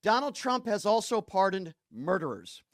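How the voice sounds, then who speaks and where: A man's voice: sits high, big pitch swings, moderate pace, no room sound, studio quality. A man hosting a political call-in show, arguing in an indignant, emphatic manner and firing pointed rhetorical questions. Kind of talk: heated political argument.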